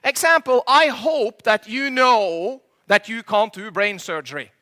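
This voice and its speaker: A high-voiced middle-aged Norwegian man speaking English with a Norwegian accent as a second language. His tone is bright and keen. He works a live conference crowd from the stage, cracking jokes and throwing questions at the audience. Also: a little slow. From Norway. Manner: jokey, quizzes the audience, slightly imperfect English. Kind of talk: stage presentation with audience banter.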